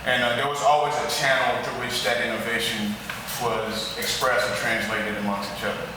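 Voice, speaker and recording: A man from the Congo speaking up in the audience at a gallery talk, thoughtful and unhurried, often adding "right?". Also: medium pitch, level tone, noisy background. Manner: thoughtful, slow with tag questions. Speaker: audience member. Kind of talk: reflective comment from the floor. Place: art gallery. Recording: echoey gallery room, far from mic.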